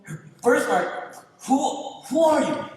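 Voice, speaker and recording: A woman playing an anguished, lovestruck young man in a stage play, halting and emphatic. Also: high voice, big pitch swings, a little mellow, exaggerated voice. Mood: disbelief. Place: large indoor theater stage. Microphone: distant camera. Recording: echoey theater stage from far off.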